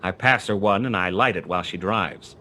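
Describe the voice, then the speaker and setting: Noir radio play lead: terse, sardonic gumshoe narrator with a low voice and streetwise slang. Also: male voice, brisk, sharp tone, slight background noise. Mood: wry, probing. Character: wry male hard-boiled private detective, narrating his own case. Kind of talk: 1940s noir radio play acting, first-person narration.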